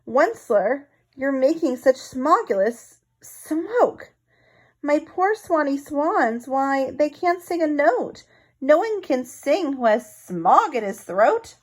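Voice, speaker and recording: A woman voicing a fussy old male forest creature from a storybook, preachy and snappish, with a croaky edge. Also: sits high, very animated, slow, voice pushed up, heavily acted. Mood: aggrieved croaking.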